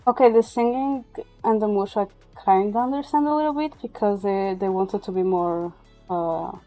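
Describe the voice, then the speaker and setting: A woman with a soft-edged, mellow voice chats casually on a livestream. She is a native Portuguese speaker and speaks haltingly at times, feeling for the English word. Music plays behind her. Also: medium pitch. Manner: halting, searches for English words. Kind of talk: casual chat.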